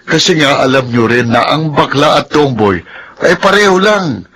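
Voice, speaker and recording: A spiteful, husky village gossip. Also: male voice, a little high, expressive, moderate pace, fairly bright tone, full character voice. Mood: taunting. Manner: taunting and accusing. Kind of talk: Filipino radio drama acting.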